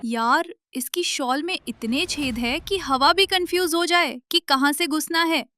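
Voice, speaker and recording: A woman's voice: sits high, unhurried, pushed and bright, soft background music. A woman voicing a sneering, mocking college student in a cartoon story.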